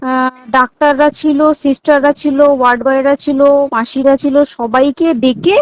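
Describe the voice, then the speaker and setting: A woman's voice: high voice, steady tone, moderate pace, no echo. An Indian Bengali woman recounting things emphatically in a private phone call, repeating points and checking that the listener follows. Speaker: hospital staff member. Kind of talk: private phone conversation, recounting insider details. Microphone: phone call recording. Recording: dull lo-fi recorded phone call.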